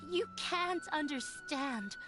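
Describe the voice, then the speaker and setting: A young runaway daughter from an anime game, solemn and wounded yet resolute. Her voice is high, with light music underneath. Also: lively intonation, slow pace. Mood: hurt, resolute. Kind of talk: anime video game voice acting.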